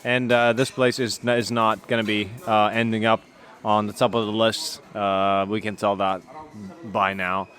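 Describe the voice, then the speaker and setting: A man's voice: fairly low pitch, level tone, normal speed, fairly bright tone. A young man with a Danish accent, chatting casually as podcast co-host, rambling with many fillers and restarts. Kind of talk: casual chat.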